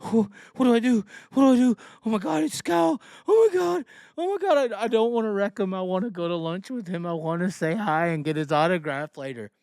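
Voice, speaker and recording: A man's voice: high voice, brisk, vocal fry, few pauses, darkish tone, no echo, studio quality, pitched above the performer's own voice. A man voicing a starstruck, fawning amateur racer who gushes in giddy panic, repeating himself, as a mocking caricature. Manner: gushing, breathless repetition, mocking caricature.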